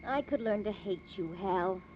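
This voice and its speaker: An actress playing a sardonic, wisecracking wife in a 1950s radio drama, dry and sarcastic. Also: female voice, medium pitch, normal speed, lightly acted. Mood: wry grumbling. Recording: dull, faintly noisy old radio recording.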